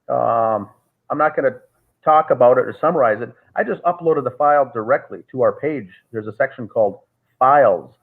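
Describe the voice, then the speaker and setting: A man talking as an interview guest on a call, telling a long story with many "uh" fillers and restarts. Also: medium pitch. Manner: rambling, frequent "uh" fillers and restarts. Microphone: call audio. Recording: tinny phone-like call audio.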